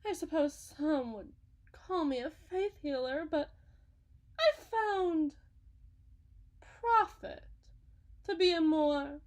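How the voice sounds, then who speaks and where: A woman playing a folksy, grandiose con-artist faith healer in a scripted roleplay, with theatrical sales patter and dramatic pauses. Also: high-pitched. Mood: smug, preachy. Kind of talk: scripted ASMR roleplay monologue.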